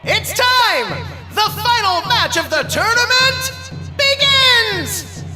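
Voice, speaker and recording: An actor voicing an excitable, bombastic male tournament announcer shouting ringside fight commentary in an anime game. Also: very high-pitched, slow pace, exaggerated voice. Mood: hyping. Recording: loud music and crowd noise.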